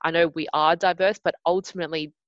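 A woman's voice: fairly low pitch, fairly even intonation, fast, dry recording, lo-fi sound. A woman speaking as a guest on a podcast over a video call, chatty and full of "you know" fillers. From Australia. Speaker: podcast guest, hackathon organiser.

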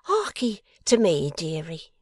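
A woman voicing a warm-hearted, delighted old working-class wife in a classic novel reading, with folksy, exclamatory speech. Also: fairly low pitch, huge pitch swings, measured pace, dry recording, voice pushed up, full character voice. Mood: earnest, eager storytelling.

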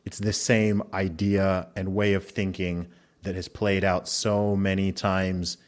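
Male podcast host, very low voice, measured and reflective, long-winded arguments. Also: level tone. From Saudi Arabia. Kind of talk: conversational interview.

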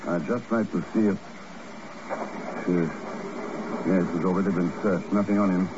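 An actor playing a composed, suave secret agent with a dry, understated manner in a 1950s radio drama. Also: male voice, sits low, steady tone, a little slow. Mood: intent. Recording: muffled, noisy old radio recording.

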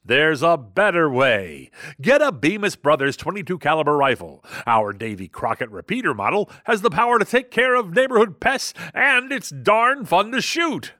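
A man's voice: on the high side, sing-song, normal speed, pushed and bright, dry recording, studio quality. An actor playing an upbeat old-time radio commercial announcer with salesman patter. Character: slick male radio ad announcer.

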